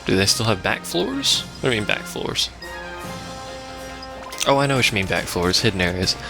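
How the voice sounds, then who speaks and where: A man's voice: lowish voice, sing-song, moderate pace, vocal fry. A man giving casual, rambling live game commentary as a let's play host, with wry asides. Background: video game music.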